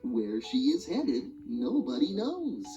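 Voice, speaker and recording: A woman reading a rhyming children's animal alphabet book aloud in a sing-song, expressive way. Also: deep voice, slow pace. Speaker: storybook reader. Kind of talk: children's read-along narration. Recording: dull lo-fi audio with music behind.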